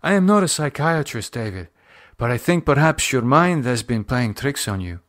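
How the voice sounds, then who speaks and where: A man voicing a calm, solicitous foreign woman in an audiobook horror drama, gently commanding, with precise formal phrasing. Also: medium pitch, normal speed, dry recording, studio quality. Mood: soothing, concerned.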